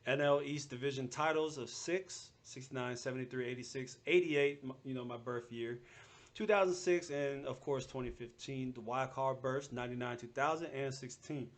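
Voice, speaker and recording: A man's voice: mid-range voice, steady tone, moderate pace. A man in his thirties chatting informally as a sports podcast host, with slangy, bantering delivery. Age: 30s. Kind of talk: casual sports podcast chat.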